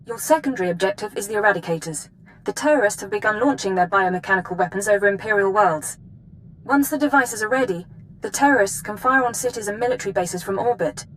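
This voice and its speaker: An actress playing a businesslike imperial intelligence handler in a video game, giving clipped, formal briefings. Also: female voice, mid-range voice, expressive, fast talker, a bit bright, a little hiss or hum, lightly acted, rough recording.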